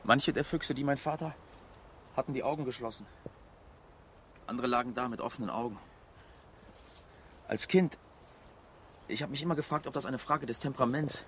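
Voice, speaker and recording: An actor playing a haunted, dreamy hunter's son in a poetic radio monologue, absorbed and halting. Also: male voice, mid-range voice, a bit bright, slight background noise, close to an everyday voice. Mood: brooding. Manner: absorbed, halting, broken-off sentences.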